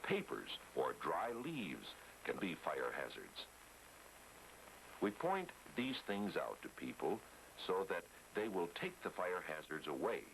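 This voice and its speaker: A man plays an earnest American fireman narrating a vintage educational film. His voice is very deep and gravelly, with big swings in intonation as he patiently instructs. The old soundtrack is clearly noisy. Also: a bit bright, exaggerated voice. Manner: instructive, addressing children with questions. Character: earnest American fireman explaining fire safety.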